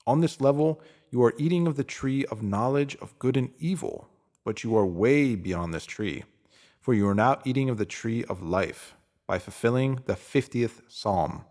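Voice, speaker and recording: Deliberate, emphatic male narrator. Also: mid-range voice, expressive, a little mellow. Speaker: narrator reading a spiritual self-help post. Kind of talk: scripted motivational reading.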